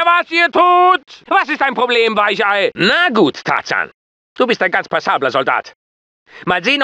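A hard-nosed military drill sergeant from a video game, pitched strikingly high for a male voice. He barks orders and insults with a piercing, forceful edge, swinging widely in pitch as he bullies his recruits. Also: no room sound, exaggerated voice. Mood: barking, scornful. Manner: barking, commanding, insulting, clipped orders. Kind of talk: German video game dubbing.

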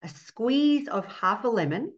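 A woman's voice: a little high, sing-song, a little slow. Australian woman on a muffled video call. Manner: warm, earnest, laughs often. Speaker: fertility doctor guest. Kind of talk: casual interview on a video call. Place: home office indoors. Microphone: laptop webcam mic on a video call. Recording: dull lo-fi video call audio.

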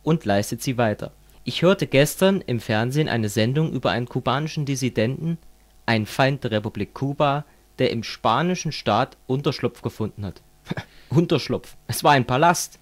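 A man's voice: mid-range voice, lively intonation, moderate pace, natural voice. A man playing a fervent communist who lectures a friend in a didactic, declamatory way, as in an amateur radio play.

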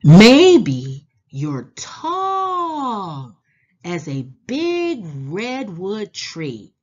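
A woman reading a children's storybook aloud in a deliberate, animated manner, drawing out words and pausing. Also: mid-range voice, highs cut off. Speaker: children's book read-aloud host. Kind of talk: expressive storybook read-aloud for young children.

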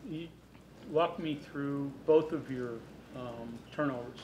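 A man's voice: mid-range voice, slow pace, a little mellow. A man asking questions as a reporter at a sports press conference, recorded in a press room. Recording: roomy, noisy off-mic press room.

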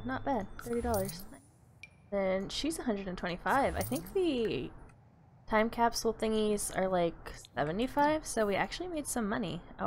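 Chatty woman narrating her gameplay. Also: mid-range voice. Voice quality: slightly raspy, crackly voice. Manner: chatty, rambling, many ums and likes. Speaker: gameplay YouTuber. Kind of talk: casual gameplay commentary.

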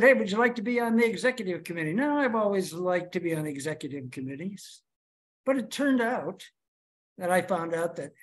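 This is a man, speaking slowly and deliberately as he tells his story. He addresses his club over a video call. He builds each point with rhetorical questions. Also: high-pitched. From Chicago, USA. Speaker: club officer giving a speech. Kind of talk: prepared speech to a club.

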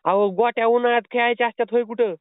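Fast, insistent man on a tinny phone line. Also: very high-pitched, fairly even intonation, no room sound. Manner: insistent, pleading, playful. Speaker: prank caller posing as a delivery man. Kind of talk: prank phone call. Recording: tinny phone call recording.

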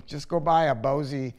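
A man's voice: a little high, darkish tone. An older man presenting an informal product review to camera, conversational and opinionated, leaning on repeated words for emphasis. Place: listening room at home.

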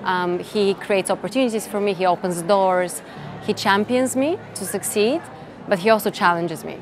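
Thoughtful woman from Bulgaria, music beneath. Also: medium pitch. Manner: thoughtful, measured, with frequent fillers and restarts. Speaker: interviewee, tech executive. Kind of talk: reflective interview answers. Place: event interview set, indoors. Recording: music bed behind voice.

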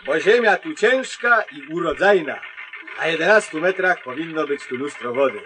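An actor playing a gruff, self-important, curt driller in a comedy radio play. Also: male voice, a little high, lively intonation, moderate pace, sharp tone, soft background music. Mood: pompous. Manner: curt, pompous, dismissive. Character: gruff, bossy, self-important man, newly trained driller.

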